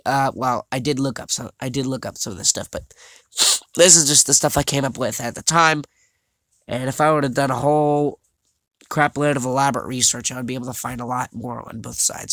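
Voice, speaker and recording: A young man vlogging over slides, talking haltingly with many fillers and restarts, his voice a little scratchy and his nose stuffed up. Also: mid-range voice, fairly bright tone, no room sound. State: congested, slightly scratchy voice. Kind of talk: casual talk over slides.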